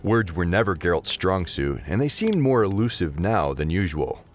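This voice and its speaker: A man narrating a scripted fantasy story as an expressive audio drama narrator. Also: low-pitched, moderate pace, faint music behind.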